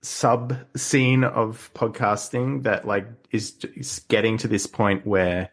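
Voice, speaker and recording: A man with a fairly low voice chats casually as a podcast host. He is agreeable and a little hesitant, wandering through his sentences as he thinks. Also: takes it slowly.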